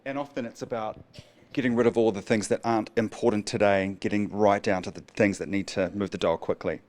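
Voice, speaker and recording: A man's voice: on the low side, steady tone, brisk, darkish tone. A man moderating a panel discussion, putting questions to the speakers in turn.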